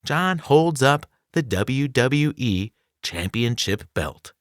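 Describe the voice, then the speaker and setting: A man reading a children's nonfiction book aloud as scripted narration, enunciating clearly with a dramatic storytelling delivery. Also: medium pitch, expressive, takes it slowly, no echo, clean studio sound. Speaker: audiobook reader.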